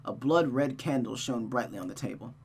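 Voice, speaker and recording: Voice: mid-range voice, expressive, normal speed, darkish tone. A narrator reading a visual novel aloud in a dramatic storytelling manner, as a streamer does. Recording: noticeable background hum and noise.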